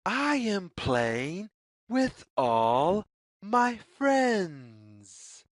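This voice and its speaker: A woman narrates a children's storybook at an extremely unhurried pace. Her pitch swings up and down in a sing-song way, with careful, deliberate phrasing. The recording is dry, with very little room sound. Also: sing-song, no echo. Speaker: children's read-aloud narrator, teacher. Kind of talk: slow, expressive picture-book read-aloud for young children.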